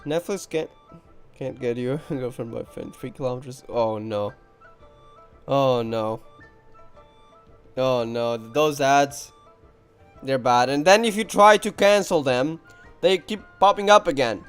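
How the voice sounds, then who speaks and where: A man's voice: mid-range voice, expressive, moderate pace. A man casually commentating on a game playthrough live, chatty and laid-back in his short reactions. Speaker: game streamer. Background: game music.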